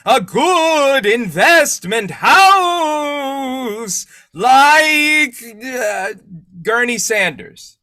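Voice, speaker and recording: A man playing a wailing male phantom in old-time radio style, stretching out his words in a high voice. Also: animated, very slow, pushed and bright, exaggerated voice. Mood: commanding, wailing. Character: spooky wailing male ghost, a put-on phantom.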